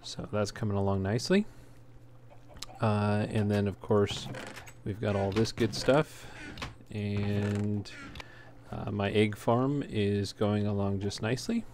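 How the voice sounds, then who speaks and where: Low-voiced man narrating a game, noisy recording. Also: steady tone, takes it slowly, a little mellow. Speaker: gaming video host. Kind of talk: casual unscripted game walkthrough commentary. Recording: noisy home recording, clear background noise.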